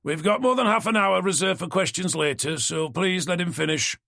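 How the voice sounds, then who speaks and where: Narrator as a booming, placating male moderator, raised pitch. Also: animated, normal speed, creaky, sharp tone, dry recording, studio quality, exaggerated voice. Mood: appealing. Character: male debate moderator, booming, trying to keep order.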